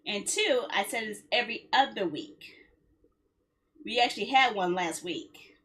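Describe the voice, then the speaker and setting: Woman streamer, bright forward tone, slightly raspy husky edge, casual rambling chat. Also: mid-range voice, animated, measured pace. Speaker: live streamer.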